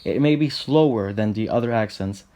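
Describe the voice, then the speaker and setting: A young man from Puerto Rico with a Puerto Rican accent, narrating a scripted explainer in a steady, list-like way. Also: fairly low pitch, normal speed. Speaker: channel host.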